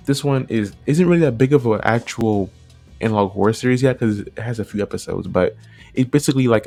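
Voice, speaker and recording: A man's voice: on the low side, darkish tone. A man giving casual, unscripted commentary, rambling along and often repeating and rephrasing himself. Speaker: video creator, narrator.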